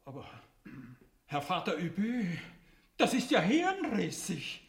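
An actor playing a flustered, indignant finance official in a radio play, protesting with exaggerated intonation. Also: male voice, high voice, huge pitch swings, takes it slowly, vocal fry, heavily acted. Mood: affronted. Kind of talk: theatrical radio play acting. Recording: echoey, distant room.

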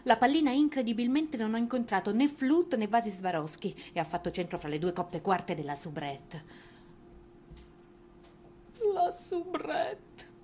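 A woman's voice: on the high side, slight background noise, close to an everyday voice. An actress playing a humiliated, indignant wife in a theatrical monologue, dramatic and mocking as she stretches words for emphasis.

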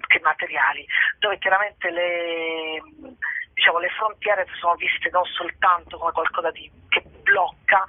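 A woman speaks in a husky, creaky voice, explaining things in a halting interview manner as she feels for the right phrase. She comes through a thin, echoing telephone line. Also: fairly low pitch. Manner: hesitant, searching for words, frequent "diciamo". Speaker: theatre company artist presenting productions. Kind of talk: informal interview answer, explaining. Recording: tinny, narrow telephone line with echo.

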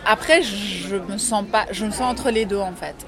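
A woman's voice: mid-range voice, normal speed, crowd noise. Lebanese-born woman in a noisy café. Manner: thoughtful, many hesitations and restarts. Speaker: interview guest, actress and drama teacher. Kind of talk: casual interview in a café. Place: busy café or restaurant.

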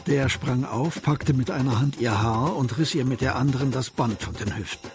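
A man narrating a radio play as scripted literary storytelling, in a measured, descriptive manner. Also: mid-range voice. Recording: studio narration over music.

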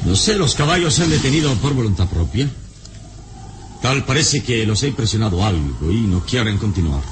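A man playing a calm, self-assured adventurer hero in a composed radio serial manner. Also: fairly low pitch, moderate pace, lightly acted. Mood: calm, watchful. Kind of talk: old radio serial acting. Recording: noisy radio drama ambience.

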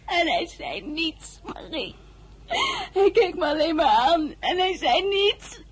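An actress playing a heartbroken, lovesick teenage girl in a radio drama, speaking haltingly and repeating words. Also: very high voice, sing-song, full character voice.

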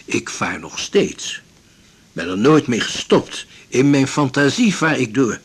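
An actor playing an elderly, blunt, resolute widowed seaman in a radio drama, speaking in a measured, formal, philosophical way. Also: medium pitch, sing-song, husky, heavily acted. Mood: wistful, weary. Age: 70s or older. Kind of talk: 1980s Dutch radio drama acting.

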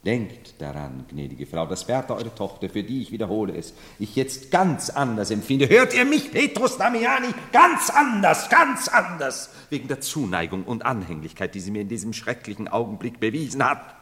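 An actor playing a theatrical, volatile medieval emperor, penitent and mocking by turns, declaiming in a 1970s radio drama that swings from hushed confiding to loud outbursts. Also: male voice, medium pitch, very animated, normal speed, few pauses. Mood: pleading, agitated. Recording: long hall reverb, 1970s studio radio play.

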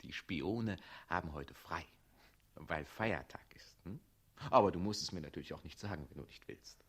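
An actor playing a scheming, oily official who feigns brotherly sympathy in a 1960s radio play, with an ingratiating, insinuating manner. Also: male voice, deep voice, moderate pace, breathy edge. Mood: feigned sympathy.

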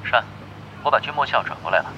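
A man's voice: mid-range voice, animated, rapid-fire, lo-fi sound, slight background noise, lightly acted. An actor playing a laid-back, teasing expert gamer in his twenties in a Chinese audio drama, casual and assured. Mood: relaxed, teasing.

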